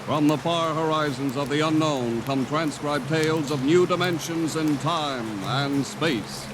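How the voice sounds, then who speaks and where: A man announcing a 1950s radio drama in a slow, dramatic style. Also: fairly high pitch, very noisy. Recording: vintage radio recording under loud rain.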